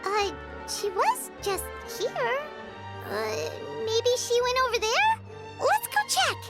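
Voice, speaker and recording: A woman voicing a small, excitable, loyal fairy companion in a video game, with a squeaky childlike voice. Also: slow pace, over loud music, full character voice. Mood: worried, unsure, then excited. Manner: refers to self in third person, exclamatory.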